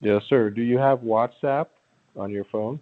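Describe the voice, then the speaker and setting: A man on a phone call giving a persuasive pitch as an agent, formally polite but halting and repeating himself. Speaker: phone caller posing as a prize agent. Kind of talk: persuasive phone sales talk. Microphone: telephone. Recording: muffled, band-limited phone line.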